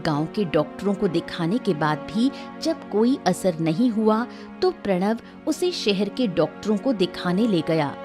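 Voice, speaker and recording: A woman narrating a scripted moral story in a dramatic storytelling style. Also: mid-range voice.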